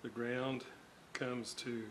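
A man's voice: medium pitch, level tone, very slow, a bit bright, slight background noise. A man talking through a radio repair at his workbench, thinking aloud and correcting himself as he measures. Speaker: radio restorer. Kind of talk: hands-on repair walkthrough.

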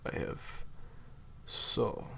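A man's voice: extremely slow, a bit bright, a little hiss or hum. A man playing a menacing, cold villain in an audio roleplay, speaking in formal, theatrically polite threats. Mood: satisfied. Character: menacing, courteous male villain, cold captor. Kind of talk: roleplay audio drama monologue.

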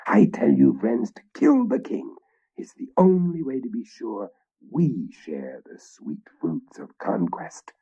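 A man's voice: on the low side, wildly swooping pitch, unhurried, hardly any pauses, warm tone, slightly rough, full character voice. A man voicing a fierce, treacherous knight who speaks in a scornful, whispered hiss, in a dull lo-fi recording. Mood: scheming.

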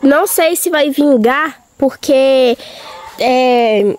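Hoarse high-voiced young woman vlogging. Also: drawn-out words, a little mellow. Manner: chatty, talking straight to viewers. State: hoarse from a cold. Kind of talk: casual vlog chat. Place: outdoors on a farm.

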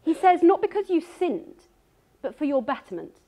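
Woman giving a measured stage talk, high voice. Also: big pitch swings, dark tone. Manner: earnest, measured delivery reading from notes. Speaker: speaker. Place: dark stage before an audience.

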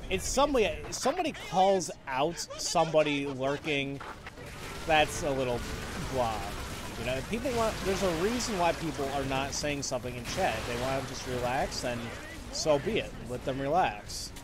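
A man's voice: a little high, animated, moderate pace. A young man streaming a game, chatting casually with viewers, rambling with many restarts and blunt opinions. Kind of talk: casual game commentary with chat replies. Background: game music and sound effects.

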